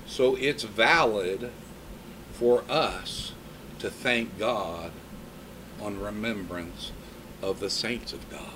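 A man's voice: on the low side, takes it slowly, pauses often, background noise. A man in his fifties preaching a sermon in a conversational way, mixing scripture with personal stories. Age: 50s.

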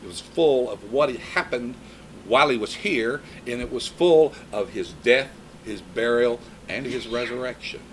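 A man in his fifties delivers a sermon at a middle pitch, moving between scripture and stories from his own life. He talks to his congregation in an easy, conversational way, with a faint hum of noise behind him. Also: moderate pace, rough recording. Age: 50s. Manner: conversational preaching with personal stories. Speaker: preacher.

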